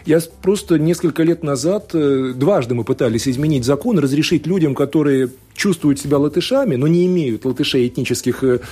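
A man's voice: a little high, a little fast, few pauses, warm tone, husky. A man from Latvia, a law scholar and politician, answering radio interview questions thoughtfully, with many fillers and restarts. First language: Russian.

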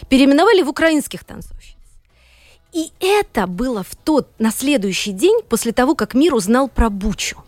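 A woman's voice: high-pitched, sing-song, moderate pace, a little mellow, no echo. A middle-aged woman from Saint Petersburg, a teacher and economist, talking as a guest in an interview. She tells her story earnestly and with emphasis, with frequent hesitations. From Saint Petersburg, Russia. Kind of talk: studio interview conversation.

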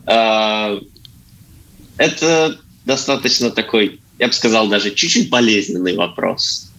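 A man, an actor appearing as a studio guest, answering earnestly and haltingly as he searches for words and repeats phrases. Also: lowish voice, vocal fry, bright, forceful tone, rough recording. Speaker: actor as talk show guest. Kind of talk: earnest conversational interview answers. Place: radio studio.

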